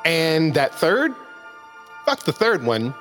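A man's voice: a little high, big pitch swings, slow pace, a bit bright, soft background music, heavily acted. A man playing a cocky, clumsy young space traveller in an audio drama, flustered and defiant, stammering and swearing. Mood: defiant, defensive. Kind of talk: scripted audio drama acting.

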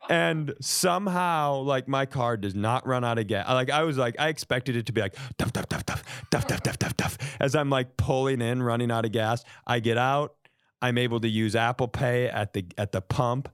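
A man's voice: mid-range voice, normal speed, clean tone, no room sound. Man riffing comically, mock-indignant, close dry sound. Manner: mock-indignant, profane, acting out quoted exchanges. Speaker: podcast host telling a personal story. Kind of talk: comic anecdote, casual banter.

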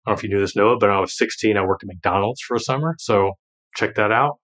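Man, thirties, lowish voice, rambling, casual podcast chat. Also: quick pace, fairly bright tone. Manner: rambling, lots of "like" and restarts, laughs often. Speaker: guest, former financial planner and creator.

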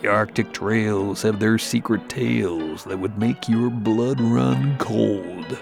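A man's voice: fairly low pitch, slow, creaky, over music. A man narrating rhyming horror verse in a theatrical storytelling cadence. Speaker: poem narrator.

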